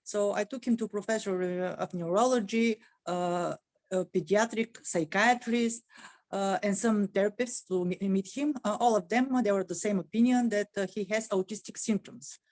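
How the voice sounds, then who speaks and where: Bulgarian-accented woman, halting speech. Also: mid-range voice, darkish tone, dry recording. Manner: halting, many "uh" fillers and restarts. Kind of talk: conversational interview answer.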